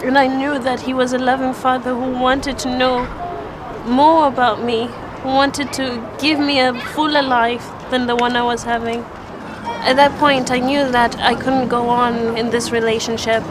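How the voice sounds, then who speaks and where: A young woman from Zimbabwe tells her faith story in a slightly high, level voice that hardly rises or falls. She speaks earnestly and evenly, with small restarts, while street and traffic noise runs clearly behind her outdoors. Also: flat delivery, normal speed. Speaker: church testimony speaker. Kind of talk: personal faith testimony.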